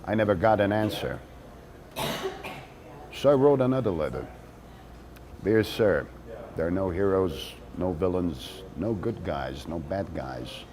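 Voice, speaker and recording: Deep, slow-talking man acting a wry ex-activist monologue. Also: animated, darkish tone. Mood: earnest, persuasive. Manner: slow, deliberate delivery with dramatic pauses. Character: wry former activist man recounting a strange feud. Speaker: actor reading a monologue. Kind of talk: theatrical dramatic monologue.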